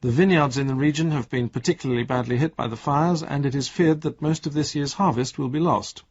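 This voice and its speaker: An actor playing a radio newsreader, delivering a formal, measured and impersonal bulletin in a drama. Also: male voice, mid-range voice, breathy edge, dull audio. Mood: sober, neutral. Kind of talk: formal broadcast news reading.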